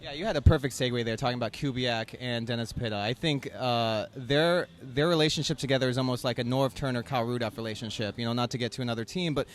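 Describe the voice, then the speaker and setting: A man talks football as a guest analyst on a sports radio show. He speaks quickly and hesitates often, filling gaps with "uh" and "you know". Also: mid-range voice. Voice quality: hesitant, full of fillers. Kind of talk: casual sports chat.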